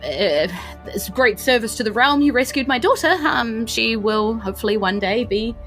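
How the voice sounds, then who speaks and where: A woman plays a stately queen of an undersea realm in a tabletop game. She sounds grand and motherly, yet flustered and halting, with music behind her. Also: on the high side, fairly bright tone, pitched above the performer's own voice. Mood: gracious, obliging.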